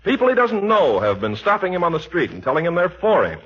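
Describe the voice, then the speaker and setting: A man announcing a 1940s radio show in a polished, emphatic sponsor-pitch style. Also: huge pitch swings, quick pace, a bit bright. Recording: muffled, raspy 1940s radio recording.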